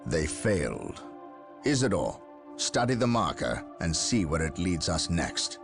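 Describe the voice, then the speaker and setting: A stern, commanding captain of space marines for a game cutscene. His voice is extremely deep and gravelly, moving dramatically in pitch with formal gravity. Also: big pitch swings, measured pace, over music, heavily acted. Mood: stern, defiant.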